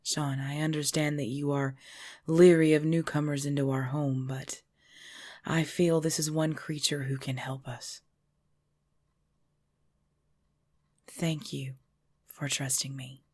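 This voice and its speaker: A woman playing a centuries-old vampire mistress in an ASMR audio drama, speaking formally in a breathy, measured voice. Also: low voice, flat delivery, darkish tone, no echo, clean studio sound. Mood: gracious, composed. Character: centuries-old vampire mistress, female, cold ruler turned protective.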